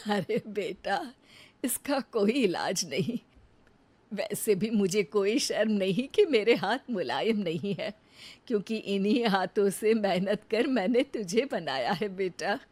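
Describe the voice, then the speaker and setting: A humble old mother-in-law from the village, voiced by a woman in a cartoon moral tale. She talks slowly and gently, with a kindly manner and a medium pitch. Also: expressive. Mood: gentle, good-humoured.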